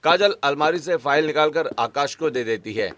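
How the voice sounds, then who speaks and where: A man's voice: on the high side, animated, normal speed, a bit bright. A man narrating a scripted cartoon story as its storyteller. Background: background music.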